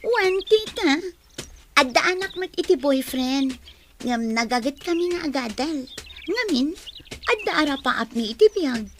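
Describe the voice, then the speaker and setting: A woman's voice: high-pitched, very animated, measured pace, a little hiss or hum. A woman playing an earnest, respectful young student in a radio comedy drama. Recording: music under the voice.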